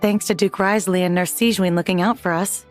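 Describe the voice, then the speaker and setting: An actress playing a sheepish, self-deprecating former prop manager in a video game. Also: female voice, fairly low pitch, fairly even intonation, fairly quick, faint music behind, close to an everyday voice.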